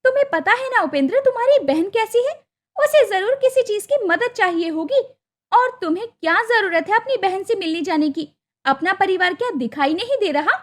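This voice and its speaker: A woman's voice: extremely high pitch, animated, moderate pace, voice pushed up. A woman voicing a jealous, resentful young sister-in-law in a cartoon story, curt and scolding. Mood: angry, scolding. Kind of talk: Hindi cartoon moral story acting.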